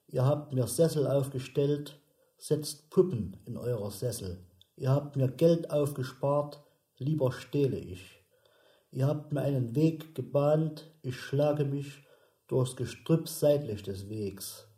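A man performing as a defiant poetic speaker, reciting verse slowly in a radio sound piece. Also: mid-range voice, dark tone, roomy sound, lightly acted. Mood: quiet defiance. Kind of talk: slow poetry recitation in a radio sound composition.

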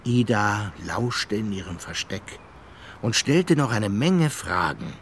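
A man narrating a children's radio play in a measured storytelling way. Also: fairly low pitch, sing-song, a bit bright, slight background noise. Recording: music under the voice.

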